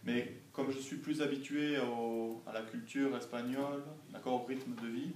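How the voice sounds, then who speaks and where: A young man answers questions in an informal classroom interview. He speaks at a medium pitch in a careful, didactic way, checking often that he is followed. The room is echoey, with faint noise behind him. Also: steady tone, normal speed, a little mellow, distant mic. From France. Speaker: language assistant being interviewed by students.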